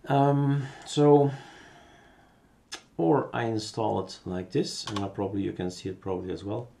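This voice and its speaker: A man with a Belgian accent giving a casual, unscripted software tutorial, thinking aloud as he works. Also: fairly low pitch, fairly even intonation, unhurried, vocal fry, a little hiss or hum.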